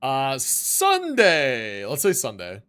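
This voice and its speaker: A woman's voice: rumbling low, wildly swooping pitch, takes it slowly, fairly bright tone, clear, resonant tone. A woman livestreaming a game, reacting aloud in casual, informal commentary. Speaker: game streamer.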